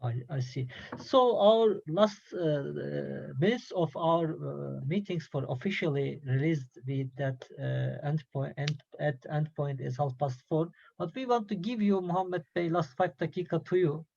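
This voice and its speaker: A man moderating an online business meeting on a video call, halting, with many fillers and restarts. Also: a little high, creaky, darkish tone. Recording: dull lo-fi call audio, dry.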